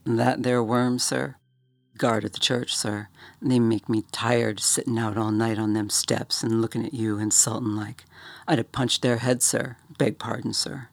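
A man's voice: medium pitch, flat delivery, unhurried, creaky, dry recording. A man voicing a young male bellhop with a Cockney accent, deferential and earnest, saying sir after nearly every phrase and dropping his g's.

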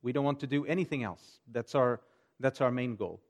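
A man in his forties from Portugal with a Portuguese accent, speaking as a pastor to a congregation in an earnest, measured way. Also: mid-range voice. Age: 40s. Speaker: pastor and seminary teacher. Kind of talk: church address to a congregation, giving updates and prayer requests.

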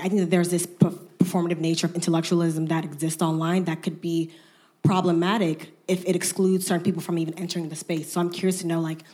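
A woman's voice: fairly low pitch, darkish tone. A woman from the audience asking an articulate, earnest question of a panel. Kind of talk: question from the floor.

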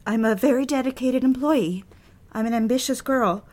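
A woman's voice: on the high side, moderate pace, darkish tone, close to an everyday voice. An actress playing a proper, dutiful and easily flustered young secretary in an audio drama, a woman speaking hesitantly and earnestly. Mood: flustered, apologetic. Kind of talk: dramatic audio fiction, intimate dialogue.

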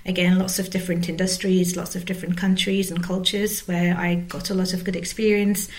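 A woman's voice: on the low side, level tone, brisk. A woman in her forties from Turkey, speaking as an executive guest in a conversational interview. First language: Turkish. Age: 40s. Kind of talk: conversational interview about career.

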